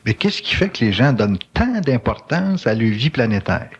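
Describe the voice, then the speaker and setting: Hesitant interviewer on old tape. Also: male voice, mid-range voice, very animated, normal speed, husky. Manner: probing, hesitant, trails off and restarts. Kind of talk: interview questions. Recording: dull old cassette tape.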